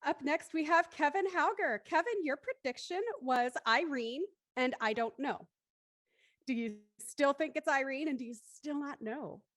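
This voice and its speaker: A woman's voice: sits high, unhurried, a bit bright, no room sound, clean studio sound. A woman hosting a live stream and introducing guests in a chatty presenting style. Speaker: host.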